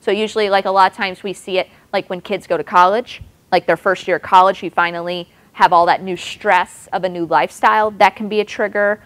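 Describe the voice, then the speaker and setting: A woman's voice: medium pitch, steady tone, fairly quick, hardly any pauses, a bit bright. Chatty woman answering audience questions. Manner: chatty, lots of "like" and "you know". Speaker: nutrition presenter at a clinic talk. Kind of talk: audience Q&A session.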